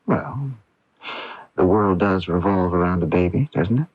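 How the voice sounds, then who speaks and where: An actor playing a patient, probing therapist in a radio drama, speaking in a soft, measured, confiding way. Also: male voice, low voice, animated, slightly rough, no echo, exaggerated voice. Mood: gentle, probing. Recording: dull 1980s AM radio recording.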